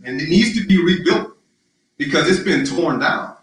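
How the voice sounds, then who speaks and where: A man running for city council, answering interview questions in a loud, emphatic, rallying way with lots of repetition. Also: on the high side, expressive, moderate pace. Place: live-streamed social media forum. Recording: very echoey, distant mic.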